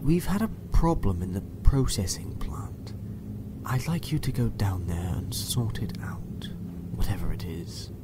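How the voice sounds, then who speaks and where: A man playing a coldly menacing doctor in a dystopian audio drama, measured and condescending, at times hushed. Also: on the low side, big pitch swings, in a whisper. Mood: cool, condescending.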